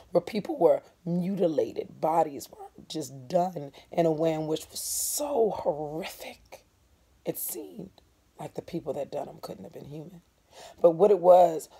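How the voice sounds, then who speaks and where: Casting: woman teacher-narrator, hoarse and dark-toned, emphatic, deliberate delivery of a dramatic book reading. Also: low voice. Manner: deliberate, emphatic, pausing on key words. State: hoarse from laryngitis or a cold. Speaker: teacher reading a novel aloud.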